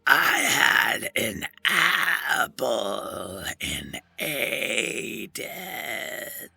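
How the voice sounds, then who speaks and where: A rotting wasteland ghoul from a video game, harsh and forceful. The voice is scratchy and distorted, and every word is dragged out and over-enunciated. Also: male voice, lowish voice, big pitch swings, vocal fry, breathy edge, no room sound, heavily acted. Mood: mournful, distorted. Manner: overly enunciated, drawn-out words. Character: ghoul, rotting irradiated wasteland mutant with drawn-out words.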